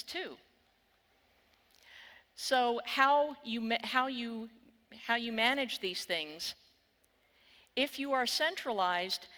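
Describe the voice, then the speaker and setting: An older woman with a Texan accent delivers a keynote from a conference stage, wry and candid, swearing now and then. Her voice is bright and forward, and it rings with the long reverb of a large hall. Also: mid-range voice. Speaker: keynote speaker.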